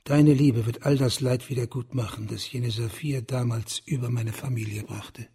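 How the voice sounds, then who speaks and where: A condemned, lovelorn man in a radio crime play. His mid-pitched voice is very husky and full of gravel. He speaks in a brooding, intense way, proud and bitter. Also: steady tone, normal speed, dark tone. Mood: bitter, anguished. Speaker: actor in a radio crime drama. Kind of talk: German radio play acting.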